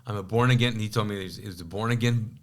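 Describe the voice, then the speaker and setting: Man, 40s, raised in Kuwait, Urdu native, interview guest, low pitch, rambling with fillers. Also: fairly even intonation, very fast. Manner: rambling, many fillers and restarts. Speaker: pastor and podcast guest. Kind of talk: casual interview storytelling.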